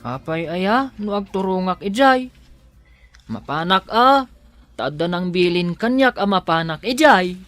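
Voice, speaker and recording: A poor, simple, plucky laborer in a radio play. His voice leaps about in pitch as he protests artlessly. Faint music sits behind him. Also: animated, measured pace, fairly bright tone, dry recording. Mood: indignant protesting. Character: poor, naive, grinning male odd-job worker, mocked and plucky. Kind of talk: radio drama acting.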